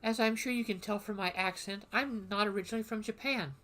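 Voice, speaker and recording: A woman's voice: medium pitch, a bit bright, faint music behind, close to an everyday voice. A woman reading as a formal magic-history teacher. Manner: formal, measured lecturing.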